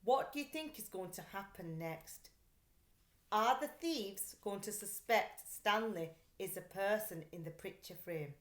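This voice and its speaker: A woman reading a story aloud for children in an expressive, deliberate, dramatic way. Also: medium pitch. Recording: roomy echo.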